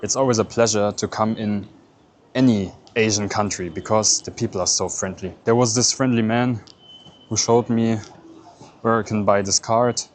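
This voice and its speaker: A man's voice: on the low side, a little hiss or hum. A man whose first language is German, narrating a casual travel vlog and explaining each step as he goes. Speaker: travel vlogger. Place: airport and busy city street.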